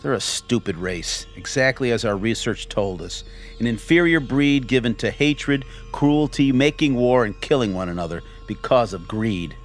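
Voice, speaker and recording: An actor playing an impatient, ruthless alien officer in a radio drama, speaking in a curt, formal manner. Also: male voice, medium pitch, moderate pace, faint music behind, natural voice. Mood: formal, contemptuous.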